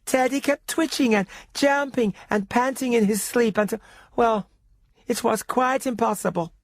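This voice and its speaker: A man voicing a prim, genteel elderly aunt with measured, hesitant phrasing in a radio drama reading. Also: extremely high pitch, dry recording, pitched above the performer's own voice. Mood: disappointed, prim. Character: doting, genteel old aunt, prim and sinister.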